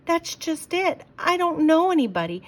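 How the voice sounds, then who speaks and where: A woman's voice: extremely high pitch, very animated, moderate pace, voice pushed up. A woman voicing a reluctant, anxious, sulky character in a children's storybook, with a whining, stubborn manner. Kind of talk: children's storybook read-aloud.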